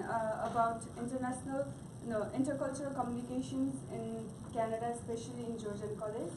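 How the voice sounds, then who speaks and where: Nepali-accented young woman doing a class talk, lots of uhs and restarts, noisy far-off recording. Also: on the high side. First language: Gurung. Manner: hesitant, many fillers and restarts. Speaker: student presenter. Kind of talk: class presentation. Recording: echoey room from far off, noisy.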